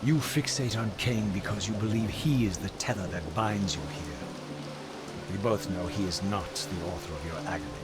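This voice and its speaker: An actor playing a sardonic undead vampire wraith who speaks in measured, probing arguments. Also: male voice, low-pitched, over loud music, close to an everyday voice. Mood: cold. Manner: measured, sarcastic. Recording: echoey with loud score.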